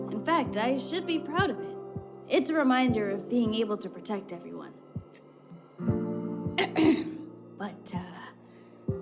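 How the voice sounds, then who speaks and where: A woman's voice: medium pitch, lightly acted. A woman playing an earnest, dutiful young guard in a video game, slightly hesitant, with music behind her. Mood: stoic, sheepish.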